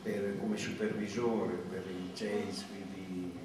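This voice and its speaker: A man in his fifties giving a reflective talk at a project presentation, pausing often with hesitant fillers. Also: low voice, flat delivery. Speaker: supervisor speaking at a project presentation. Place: large classroom with rows of chairs. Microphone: far camera. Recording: echoey room from far off, background noise.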